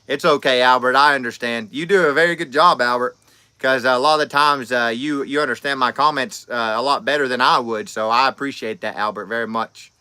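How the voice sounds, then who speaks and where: A man in his thirties talks on a livestream in a rambling, folksy way, often trailing off and restarting. His voice is bright and smooth. The sound is dry and close, with no room echo. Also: medium pitch, a little fast. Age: 30s. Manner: rambling, friendly, folksy with many fillers and restarts. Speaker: livestream host. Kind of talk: casual livestream chat reading comments.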